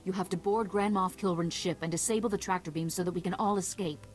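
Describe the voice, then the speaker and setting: An actress playing a composed, resolute ambassador in a space-opera video game, measured, formal and authoritative. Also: female voice, on the low side, steady tone, soft background music, natural voice. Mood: composed, urgent.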